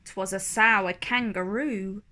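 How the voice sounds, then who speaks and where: Female storybook reader for children: expressive, unhurried, bright, deliberately theatrical. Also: mid-range voice. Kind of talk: expressive rhyming story read-aloud.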